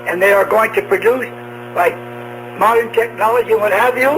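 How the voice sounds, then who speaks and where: A man with a high-pitched voice preaches about prophecy on the radio. His delivery is deliberate and emphatic, often doubling back on a phrase. The recording is a noisy shortwave broadcast with an audible hum. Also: vocal fry, a bit bright. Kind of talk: apocalyptic prophecy sermon.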